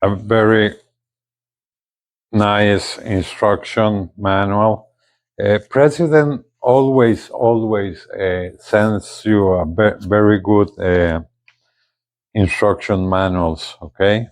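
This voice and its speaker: A man presenting a casual unboxing video, speaking haltingly and often repeating his words. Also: on the low side, fairly even intonation, a little hiss or hum. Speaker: gadget reviewer, video host.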